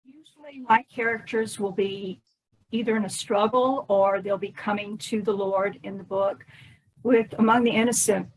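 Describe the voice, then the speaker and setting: A woman's voice: mid-range voice, fairly even intonation, normal speed, low-quality recording. A woman, an author guesting on a podcast, explaining her work in a conversational way. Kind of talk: conversational interview answers.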